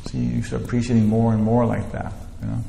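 Male lecturer, casual rambling delivery, gravelly voice, echoey hall. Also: mid-range voice, level tone, fairly quick, dark tone, slight background noise. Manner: rambling, many "you know" fillers and restarts. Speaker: teacher leading a scripture class. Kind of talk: informal spiritual lecture. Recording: roomy hall with long echo, faint ambience.

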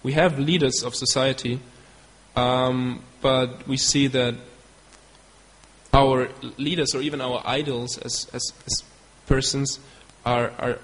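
A man from Germany with a German accent, a devotee giving a storytelling talk. He speaks haltingly and stops to search for English words. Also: mid-range voice, flat delivery, fairly bright tone. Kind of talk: storytelling lecture. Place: hall in Belgium. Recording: long hall reverb with faint ambience.

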